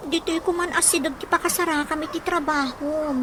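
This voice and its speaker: A woman's voice: sits high, fairly even intonation, a little fast, close to an everyday voice. An actress playing a clingy, discontented young woman with a plaintive manner in a radio drama. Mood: concerned. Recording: very noisy, with ambient sound.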